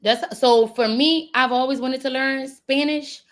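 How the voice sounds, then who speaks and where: A woman hosting a casual livestream chat, relaxed, answering in short prompts and laughing easily. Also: sits high, a little slow. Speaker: livestream host.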